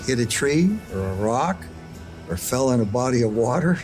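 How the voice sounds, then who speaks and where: A man's voice: medium pitch, lively intonation, moderate pace, darkish tone, faint music behind. A man interviewed for a documentary, giving his opinion in a matter-of-fact way. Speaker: FBI agent interviewed in documentary.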